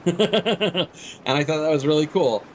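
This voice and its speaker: A man's voice: fairly high pitch, slow pace, slight background noise. A man hosting a game livestream, giving rambling, opinionated explanations in a casual, chatty way.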